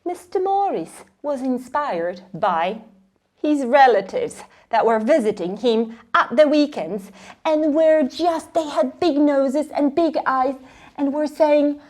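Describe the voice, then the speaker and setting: Female craft presenter, high voice with lively swings in pitch, encouraging and storyteller-like, informal demonstration style. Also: takes it slowly.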